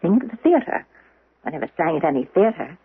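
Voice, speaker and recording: An actress playing a sweet, confused young woman with a fogged memory in a 1970s radio drama, speaking in a breathy, wondering, faltering way. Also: on the low side, big pitch swings, fast talker, no room sound, heavily acted. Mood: puzzled. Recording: muffled, narrow-band old radio broadcast.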